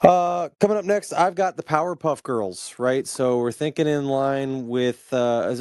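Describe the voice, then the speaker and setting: A man co-hosting a podcast in a casual, halting, rambling way, thinking aloud as he goes. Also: mid-range voice, darkish tone, no echo, studio quality. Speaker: podcast co-host.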